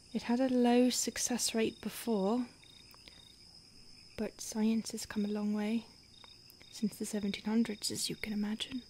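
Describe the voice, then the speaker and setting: A woman voicing a starved, remorseful, centuries-old female vampire in a soft, breathy, halting whisper for an ASMR roleplay. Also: fairly high pitch, a little hiss or hum. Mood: grave, hushed. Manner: slow, intimate, halting.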